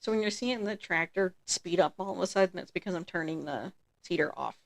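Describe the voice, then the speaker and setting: A woman streaming a farming game, chatting casually through her gameplay in a relaxed, rambling way, a little congested from allergies. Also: fairly low pitch, brisk, vocal fry. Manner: relaxed, chatty, trailing off with ums.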